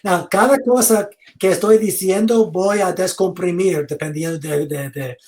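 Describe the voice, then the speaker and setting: A man giving an online theology lecture over a video call, speaking slowly, repeating words and inviting questions. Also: high voice, a little mellow. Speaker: seminary lecturer.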